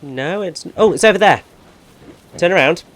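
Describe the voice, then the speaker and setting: A man with a naturally dry, deadpan voice, giving directions and chatting over a game. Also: sits high, moderate pace, a little hiss or hum, low-quality recording. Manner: guiding, precise, rambling over-explainer. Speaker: co-commentator. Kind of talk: casual let's-play chat.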